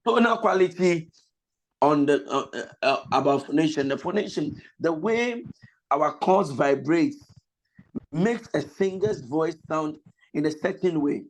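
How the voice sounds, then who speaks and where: A man, a pastor and vocal lecturer, teaching an online voice class over a video call. He has a cold that roughens his voice, explains slowly, repeats his points and breaks into sung examples. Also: fairly high pitch, lively intonation, slight background noise, low-quality recording. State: has a cold, voice slightly lost.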